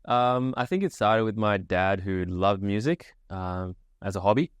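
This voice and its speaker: A young man from Sri Lanka answers interview questions in a relaxed, casual way, often restarting his sentences. His voice sits very low and comes across smooth and resonant. The recording is dry and close, with no room sound. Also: a little slow, no echo. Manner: easygoing, casual, many fillers and restarts. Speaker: guest, agency founder.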